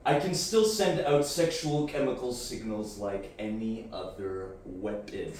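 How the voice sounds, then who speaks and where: An actor playing an aloof, coldly superior post-human warrior in a sci-fi audio drama, speaking in deliberate, halting, solemn phrases. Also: male voice, on the low side, a little mellow, lightly acted. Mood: flat, defensive. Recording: very echoey, distant, faint noise.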